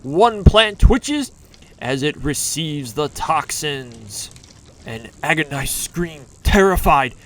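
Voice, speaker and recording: A man narrating a campy retro serial in a theatrical, deliberate, scripted style. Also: a little high, expressive, a bit bright.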